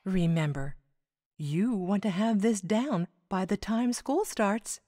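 A woman playing a gently instructive monster mother, speaking calmly in an audio drama. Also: medium pitch, slow. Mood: gentle, instructive.

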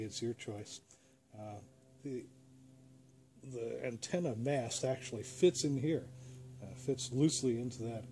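A man's voice: medium pitch, mellow. A man with an American accent gives a casual, plain-spoken how-to demonstration as a hobbyist host, explaining step by step. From United States. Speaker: host, radio hobbyist demonstrating a home-built antenna. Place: outdoors beside a parked car. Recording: clear background noise, outdoor ambience.

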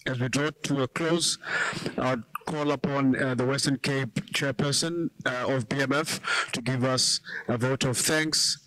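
Brief: man, middle-aged, from South Africa, panel host closing out the event, casual and unhurried. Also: medium pitch, poor audio. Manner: relaxed, loose with ums and uhs. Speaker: panel moderator. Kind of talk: event wrap-up announcements. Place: small conference room with audience. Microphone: handheld microphone.

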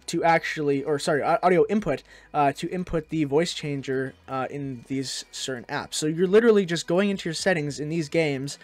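A man hosting a software tutorial, narrating in a casual, conversational way. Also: a little high, no room sound. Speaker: tutorial host.

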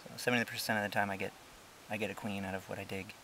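A young man casually narrating a hiking vlog outdoors on a trail, explaining things in a relaxed, chatty way with many fillers, recorded on the handheld camera he films with. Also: lowish voice, very fast, slight background noise. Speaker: ant-keeping channel host. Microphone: handheld camera he is filming with.

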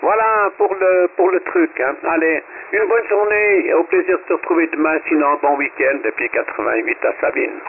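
A man's voice: on the high side, normal speed. A Swiss French radio amateur talks casually and keeps tagging his sentences with "hein". His voice comes through a thin, tinny shortwave link. A faint hiss sits under it. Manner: chatty, many "hein" tags.

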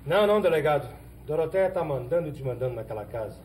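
Casting: man as insistent Brazilian hero of the backlands, quick, highish, mellow; vintage TV drama. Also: animated, natural voice. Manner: insistent, direct. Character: earnest, sharp-minded hero of the backlands. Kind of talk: 1970s telenovela acting. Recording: noisy old 1970s TV soundtrack.

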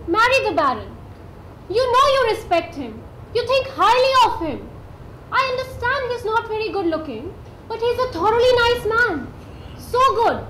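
A gentle, sensible elder sister, played by an actress, soothes and advises in a very high, motherly voice. The recording rings with stage echo and a faint hum. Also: exaggerated voice.

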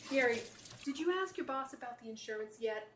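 An actress plays a nagging mother of a grown son in an audio drama. She is high-pitched, fussy and bossy, recorded in an echoey space with noticeable noise. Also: lively intonation, a little fast, a bit bright. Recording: echoey room with clear background noise.

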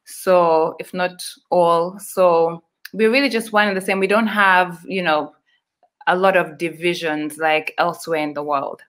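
A woman's voice: fairly low pitch, fairly even intonation, measured pace, fairly bright tone. A young woman from Uganda, a guest on a video call interview, friendly and explaining at length. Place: small room indoors. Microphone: video call webcam.

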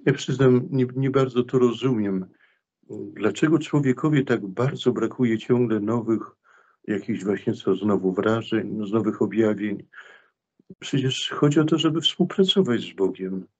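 Middle-aged male priest, lowish voice, earnest and halting, webcam call from a small room. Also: darkish tone, highs cut off. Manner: earnest, hesitant, many fillers and restarts. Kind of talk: religious talk in a video interview. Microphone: video call webcam.

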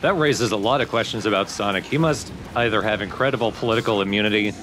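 A man's voice: fairly low pitch, fast talker. A man doing casual let's play commentary, with dry, teasing banter and some crude joking. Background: video game music.

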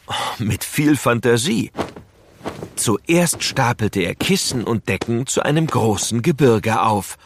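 A male narrator tells a children's story in an expressive, unhurried way. His voice is fairly low and recorded close and dry. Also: no echo.

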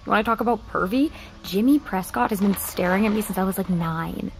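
A woman's voice: medium pitch, brisk, natural voice, low-quality recording. A woman with an American accent playing a blunt, exasperated teenage girl in a naturalistic audio drama. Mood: curious, blunt.

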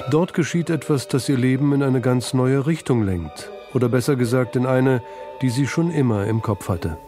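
A man's voice: mid-range voice, warm tone. A man reading scripted narration for a documentary feature.

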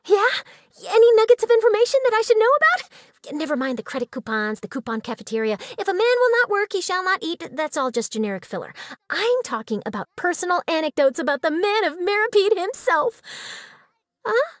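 A woman voicing an excitable, nosy young female newspaper reporter in a video game, perky, dramatic and chatty. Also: very high-pitched, fairly quick, no room sound, studio quality. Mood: eager, prying. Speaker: game character voice actor. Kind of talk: animated scripted game character voice lines.

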